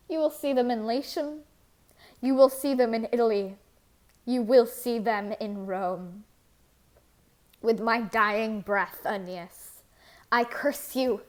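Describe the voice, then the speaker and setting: A proud and wounded queen of antiquity, acted by a woman, declaims tragic verse in a fairly high voice. She is grieving and wrathful, and she takes her words slowly and deliberately, as in a stage tragedy. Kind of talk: theatrical solo reading of verse libretto.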